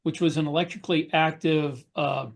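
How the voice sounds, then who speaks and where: A man in a casual podcast discussion, explaining things in a measured way with pauses. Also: on the high side, steady tone, vocal fry. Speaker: podcast panelist and author.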